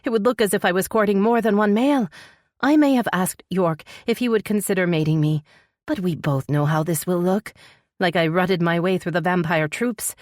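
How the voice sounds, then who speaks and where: A woman voicing a tearful young supernatural princess in a romance audiobook, soft and shaky, pleading sorrowfully yet resolute. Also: moderate pace, no echo, studio quality, natural voice. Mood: pained, resolute. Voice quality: soft, shaky, higher-pitched voice. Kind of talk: dramatic romance audiobook dialogue.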